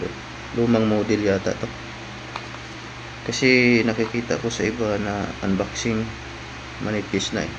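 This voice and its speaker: Guy vlogger doing a casual unboxing, lowish voice, kinda halting, noisy room hum behind him. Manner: informal, halting, often tags phrases with "no?". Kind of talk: casual unboxing vlog narration.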